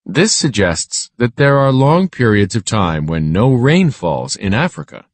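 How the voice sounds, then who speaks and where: A man reading a scripted children's lesson aloud in a measured, instructional way. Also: lowish voice, very animated, dry recording.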